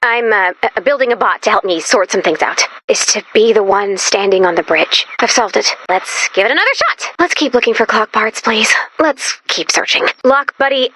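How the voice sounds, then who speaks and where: A woman voicing an excitable, anxious and quirky young female robot companion in a video game, theatrical and prone to deadpan gloom. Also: medium pitch, normal speed, hardly any pauses, poor audio. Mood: earnest, flustered. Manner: theatrical, swinging from peppy cheer to deadpan gloom. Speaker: game companion character giving mission dialogue. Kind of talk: animated video game voice acting.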